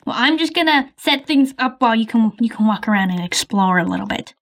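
Cast: woman as a shifty, pushy stranger, evasive, somewhat high voice, game roleplay. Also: animated, brisk. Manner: evasive, pushy, brushes off questions. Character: shady, pushy stranger named Bob. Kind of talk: improvised game roleplay voice-over.

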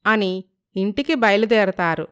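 Clear-voiced woman narrating a story. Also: medium pitch, a little slow, a bit bright. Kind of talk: scripted moral story narration.

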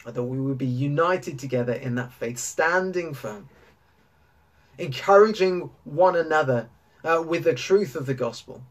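A British man in his thirties, an earnest church pastor giving an informal, gently exhorting address and repeating key phrases. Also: fairly high pitch, moderate pace. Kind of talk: informal sermon and church update to camera. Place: small indoor room lined with bookshelves.

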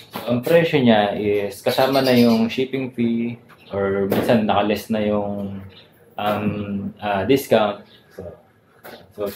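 A Filipino man with a low voice talks casually as a vlog host. His speech is conversational, dotted with small tag words. The recording is roomy and echoey, with clear noise behind him. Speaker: motovlogger and channel host. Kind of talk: casual vlog product rundown.

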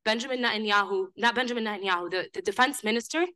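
A young woman, a student activist, speaking loudly and insistently to a class without a script, often restarting her sentences. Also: mid-range voice, rapid-fire. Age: young adult. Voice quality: loud voice. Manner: impassioned, insistent, with frequent restarts. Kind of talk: impromptu talk to a class. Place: lecture hall.

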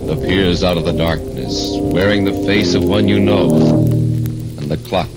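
A man's voice: low voice, fairly even intonation, a little slow, gravelly, rough recording. An American man narrating in 1940s radio style as announcer, ominous and dramatic. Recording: vintage radio, loud music behind.

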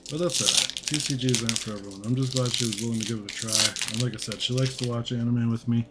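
A man's voice: mid-range voice, steady tone, fast, heavy background noise. A middle-aged man chats in a laid-back, rambling, slangy way, like a shop owner hosting an unboxing video. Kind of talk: casual rambling chat while opening card packs. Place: indoor room at a card-opening table. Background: music, crinkling card packs.

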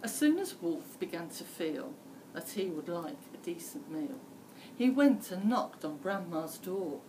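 A middle-aged woman reads a children's story with drama and very varied pitch. Her pace is slow and deliberate. She is recorded indoors at some distance, with faint noise. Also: sing-song. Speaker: primary school teacher. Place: school library indoors.

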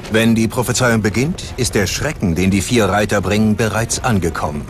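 A man's voice: lowish voice, fairly quick. A man narrating a scripted documentary in a grave, dramatic manner. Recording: prominent music bed under voice-over. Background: music.

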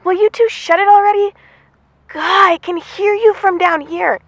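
An actress playing a blunt, irritable young superheroine on lookout in an audio drama. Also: female voice, very high voice, steady tone, moderate pace, dry recording. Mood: exasperated.

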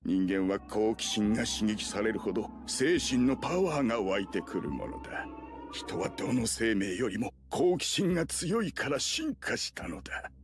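A man's voice: lowish voice, sing-song, fast. A man playing a sadistic, gleefully curious villain in a Japanese anime. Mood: sadistic glee. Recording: music behind the voice.

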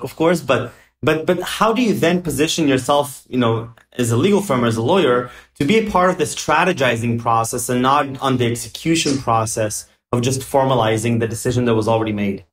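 A man's voice: medium pitch, a little fast, hardly any pauses, echoey room. A young man hosting an interview podcast over a video call, eager and full of fillers. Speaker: podcast host.

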